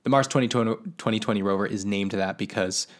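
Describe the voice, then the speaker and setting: A man's voice: on the low side, fast. Chatty man explaining space news. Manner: explains space news in plain terms, then friendly sign-off. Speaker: podcast co-host. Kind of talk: casual science podcast chat.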